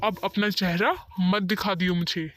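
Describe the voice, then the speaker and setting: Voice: mid-range voice, fairly even intonation, unhurried, fairly bright tone, lightly acted. An actor playing a harsh rich employer in a moral story, scolding and curt. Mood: angry, dismissive. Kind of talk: dramatized moral story dialogue.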